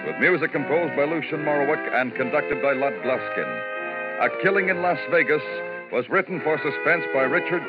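An American man announcing a radio show in a formal, dramatic 1950s style. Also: a little high. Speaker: program announcer. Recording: muffled old radio recording. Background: loud orchestral music.

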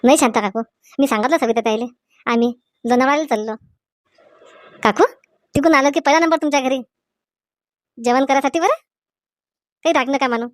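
A woman voicing a sweet, grateful young newlywed wife in a comedy cartoon, gentle and polite, with a rural Maharashtrian accent. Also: high-pitched, brisk, pauses often, dry recording, studio quality, natural voice. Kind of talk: Marathi comedy cartoon dialogue.

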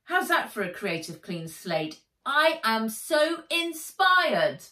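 Woman reading as an excitable, animated creative girl. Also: a little high, takes it slowly, roomy sound, recorded from a distance, pitched above the performer's own voice, full character voice. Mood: excited. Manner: enthusiastic, exclamatory.

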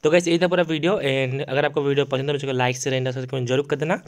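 A man's voice: medium pitch, little pitch movement, fast. A man giving casual game update commentary as a YouTuber, explaining things repetitively with plenty of fillers. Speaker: gaming YouTuber. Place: voice-over on mobile game screen recording.

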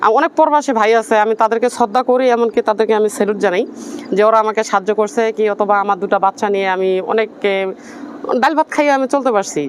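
A woman's voice: mid-range voice, fast talker, a little hiss or hum. A woman with a Bangladeshi accent making a personal appeal in a pleading, insistent manner. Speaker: interviewee telling own life story.

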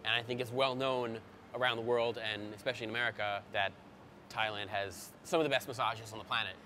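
Fast, eager young man interviewing. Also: fairly low pitch, fairly even intonation, sharp tone, a little hiss or hum. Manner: eager, upbeat, switches into other languages. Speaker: host, interviewer. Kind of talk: casual interview chat. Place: busy conference hall booth.

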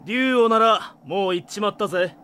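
An actor playing a cool, blunt young robot rival in an animated game cutscene, with a rough casual way of talking. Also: male voice, high-pitched, brisk.

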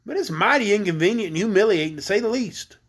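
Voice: medium pitch, lively intonation, fast talker, slightly rough. A reader voicing a tiny, good-natured male talking grasshopper in a storybook, with a folksy way of exclaiming. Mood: reassuring. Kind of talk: storybook character voice.

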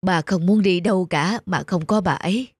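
A woman's voice: fairly low pitch, normal speed, slightly rough, dry recording, clean studio sound. A woman voicing a sorrowful, withdrawn old widower in a dubbed cartoon. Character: grieving old bearded widower father. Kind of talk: cartoon dubbing.

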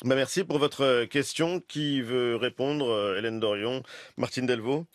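A man in his forties hosts a studio radio show and moderates in a relaxed way, with a few hesitations. His voice is close and dry on the studio mic. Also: mid-range voice, a little slow, dry recording. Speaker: radio host. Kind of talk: radio interview. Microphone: studio microphone with headphones.

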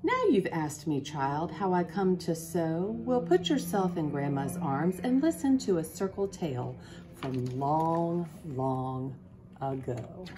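A woman in her forties reading a children's picture book aloud in an expressive, deliberate way, pausing to put questions to listeners. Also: sits low, background noise. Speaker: school principal, guest storybook reader. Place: small office, indoors.

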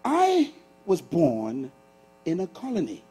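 Bahamian male preacher, sixties, high voice, very lively delivery, stage lapel mic. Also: takes it slowly, husky. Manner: lively preacher, shifts from loud bursts to hushed asides. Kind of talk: sermon. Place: large church auditorium stage with audience.

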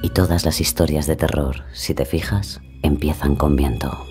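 A man narrating an atmospheric scripted introduction to a story, in a deliberate, suspenseful manner. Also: rumbling low, steady tone, over music.

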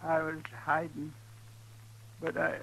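An actor playing a frightened, simple-minded old ranch hand in a western radio drama, halting and timid. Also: male voice, on the high side, completely flat delivery, vocal fry, bright, forceful tone, slightly rough, full character voice. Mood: dazed, fearful. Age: older. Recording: noisy 1950s radio recording with hum.

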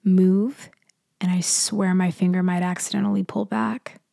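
A woman performs a female crime boss for an ASMR audio roleplay. Her voice is dark and mellow with a heavy fry, and she takes her time over each line. She sounds teasing and quietly in control. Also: on the low side, little pitch movement, no echo. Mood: commanding, threatening. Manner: slow, deliberate, softly taunting, often dropping to a whisper. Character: menacing female mafia leader, cool, teasing, in control.

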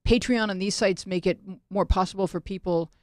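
A woman hosts in a conversational way, her voice mid-pitched for a woman. The recording is dry and close, as in a small studio. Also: steady tone, brisk, a little mellow, no echo. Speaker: host. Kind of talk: casual talk-show hosting. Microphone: close studio microphone, headphones.

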